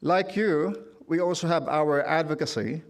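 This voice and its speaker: A middle-aged man from the Philippines with a Filipino accent, giving a formal speech as a union leader at a convention, measured, lifting his voice for quotes and punchlines. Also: a little high. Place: large convention hall.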